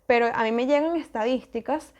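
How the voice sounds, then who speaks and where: A woman's voice: high-pitched, fairly quick, a little mellow, lo-fi sound. A woman with a Venezuelan Spanish accent, hosting a podcast and talking in a thoughtful, casual way, with many fillers and restarts. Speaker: podcast host. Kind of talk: casual solo podcast talk.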